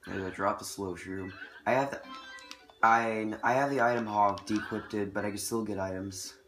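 A man's voice: lowish voice, steady tone, moderate pace. A man with a cold, losing his voice, chatting casually as he plays and comments on a video game. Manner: chatty, self-correcting, reacting to the game. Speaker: let's play host. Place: indoor room with TV, filmed on camera. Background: game music.

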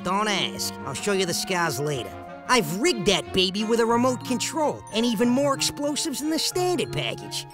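Rough demolition crook, video game: jovial, chummy, slangy delivery over clear music. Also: male voice, high voice, sing-song, moderate pace. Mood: chummy, sly. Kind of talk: video game cutscene voice acting.

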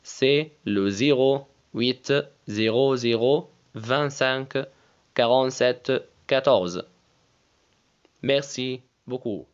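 A man with an Egyptian Arabic accent teaches French, carefully repeating each phrase and spelling names letter by letter. His pitch sits in a middle range for a man. The recording sounds somewhat dull and lo-fi. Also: extremely slow. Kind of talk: language lesson, French with Arabic explanations.

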